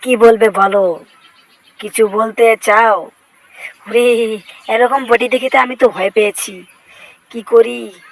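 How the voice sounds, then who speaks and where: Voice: medium pitch, steady tone, slow, pauses often, low-quality recording. A voice actor dubbing a boastful, vengeful cartoon cat in speech, exclaiming, whining and bragging to himself in a comic fan-dub style. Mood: boastful, teasing.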